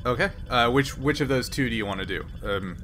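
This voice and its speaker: A man serving as game master who narrates the scene in a relaxed, explaining way and laughs easily. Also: mid-range voice, fairly quick, soft background music.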